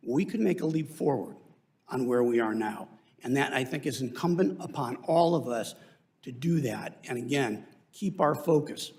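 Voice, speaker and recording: Man giving measured, emphatic testimony. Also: mid-range voice, animated, darkish tone. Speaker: industry association witness testifying at a hearing. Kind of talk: formal spoken testimony. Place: indoor committee hearing room.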